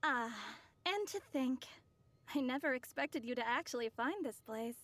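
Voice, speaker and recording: A woman's voice: sits high, expressive, moderate pace, fairly bright tone. A woman voicing a sly, cheeky female treasure seeker in a video game, teasing and smug. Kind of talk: scripted video game acting.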